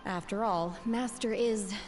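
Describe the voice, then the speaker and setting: A woman's voice: mid-range voice, unhurried, natural voice. A woman playing a reserved, inexpressive young woman in a video game, speaking formally and with restraint. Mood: calm, reserved. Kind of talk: video game character dialogue. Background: game music.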